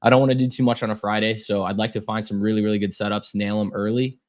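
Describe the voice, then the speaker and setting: Hoarse young guy on a trading stream, kinda low voice, lots of um and uh. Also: level tone, fast. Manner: casual, repeats words, frequent fillers. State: hoarse, losing his voice. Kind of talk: live trading stream chat.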